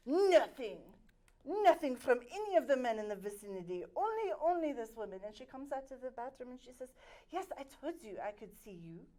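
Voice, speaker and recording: A woman's voice: sits high, warm tone. A woman playing a vain aristocratic lady with a French accent, telling a dramatic story with many fillers. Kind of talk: improvised comedy scene.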